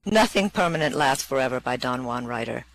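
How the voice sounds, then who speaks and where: A poetry performer reading verse and giving brief introductions, with measured, expressive delivery. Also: medium pitch, no echo, low-quality recording.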